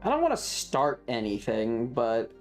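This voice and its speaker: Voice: mid-range voice, huge pitch swings, measured pace. Rambling game streamer, music playing behind. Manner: rambling, talks to self and chat. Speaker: streamer hosting a live stream. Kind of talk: casual chat while playing a video game.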